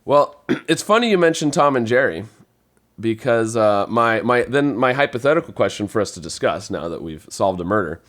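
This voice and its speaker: A man hosting a relaxed, conversational group discussion as a podcast host. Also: medium pitch, moderate pace. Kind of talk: casual group chat.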